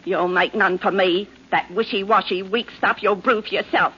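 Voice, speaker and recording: An actress playing a domineering, sarcastic working-class wife, scornful and coarse, in 1940s radio drama style. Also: female voice, mid-range voice, fairly even intonation, moderate pace, breathy edge. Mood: angry, scornful. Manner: scornful, sharp-tongued, coarse. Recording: dull, muffled 1940s radio recording.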